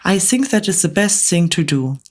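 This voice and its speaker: A woman reading aloud, voicing a calm, thoughtful young boy in her own low voice. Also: moderate pace.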